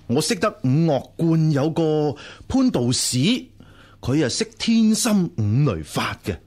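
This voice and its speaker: An actor playing an eager, fawning hanger-on in a radio drama, chatty and obsequious. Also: male voice, on the high side, big pitch swings, measured pace, darkish tone. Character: eager, flattering hanger-on, sworn younger brother. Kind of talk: Cantonese radio drama acting.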